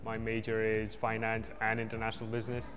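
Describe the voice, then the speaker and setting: Young guy from india, senior student, pretty flat voice, bit of reverb, music under. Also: fairly low pitch, fast talker. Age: young adult. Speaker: student interviewee. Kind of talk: outdoor vox pop interview. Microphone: lapel.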